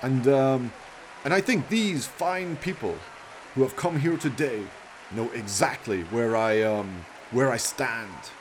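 A man's voice: mid-range voice, slow, slight background noise, pitched above the performer's own voice, lightly acted. A man doing a stammering, smarmy politician in his sixties. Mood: evasive, oily. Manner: hesitant, stammering, self-important speechifying.

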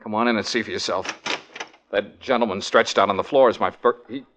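An actor playing a brash young private detective, flippant and excitable, in old-time radio comedy-mystery style. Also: male voice, lowish voice, a little fast, a little hiss or hum, close to an everyday voice. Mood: playful, flippant. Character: brash, wisecracking young male private detective, flustered novice.

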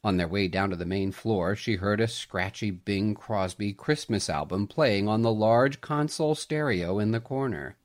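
A man reading a story aloud as scripted narration, with an expressive, dramatic storytelling delivery. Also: lowish voice, moderate pace, few pauses.

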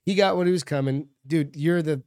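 Brash American man, higher-pitched, dry sound. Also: fairly quick, a little mellow, clear, resonant tone, no room sound. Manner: brash, sweary, informal. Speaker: podcast co-host. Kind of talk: casual banter and storytelling.

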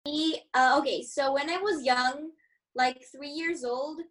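A teenage girl whose first language is Greek speaks as a guest in a hesitant, halting way. Her voice is high, and she sounds set back from the mic. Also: fairly even intonation, fairly bright tone. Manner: hesitant, lots of "like". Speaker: young guest. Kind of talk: casual chat. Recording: distant from the mic.

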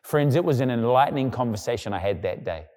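A male pastor preaching a sermon in a conversational way, now and then acting out quoted people in a lower, quieter voice. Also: animated, fast, big-room sound.

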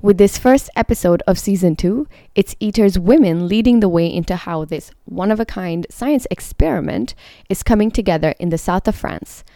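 A woman's voice: medium pitch, normal speed, hardly any pauses, dark tone, dry recording, clean studio sound. A woman hosting a podcast, reading scripted narration. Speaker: podcast host and interviewer.

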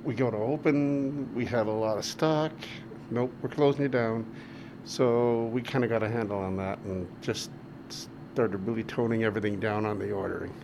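A man who co-manages a cinema speaks plainly and matter-of-factly in a lowish voice. He was recorded in a theatre lobby, and clear background noise sits under his voice. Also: normal speed. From Ontario, Canada. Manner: plain-spoken, matter-of-fact. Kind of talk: casual interview.

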